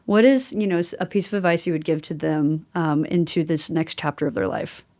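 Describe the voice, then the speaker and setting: A woman's voice: low-pitched, a little fast, a little mellow. Chatty woman hosting a casual podcast. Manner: chatty, affirming, frequent "like". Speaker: podcast host. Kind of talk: casual conversational interview.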